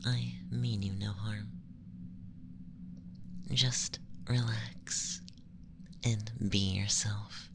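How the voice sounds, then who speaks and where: An ASMR performer voicing a calm, condescending young male alien abductor in a breathy, often whispered, gentle and formal monologue. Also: mid-range voice, heavy background noise. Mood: soothing, gentle. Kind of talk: ASMR roleplay, scripted monologue.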